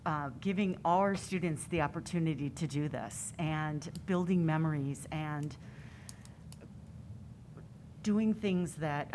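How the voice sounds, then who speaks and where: A woman with a quite low voice speaks sincerely as a board member. A faint rumble runs underneath. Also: a little mellow. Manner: sincere, appreciative.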